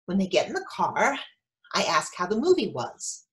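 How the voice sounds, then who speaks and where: A woman's voice: medium pitch, normal speed. Anxious, overeager mother telling her story acted by a woman. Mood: hopeful, nervous. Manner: animated storytelling, breaking into quoted dialogue. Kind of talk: theatrical monologue, staged reading.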